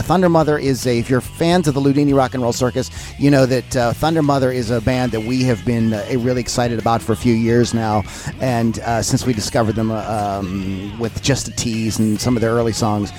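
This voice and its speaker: A man's voice: medium pitch, darkish tone. A man hosting a rock podcast, enthusiastic and rambling, with lots of uh's as he introduces and questions his guest. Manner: enthusiastic, rambling, many fillers. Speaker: podcast host.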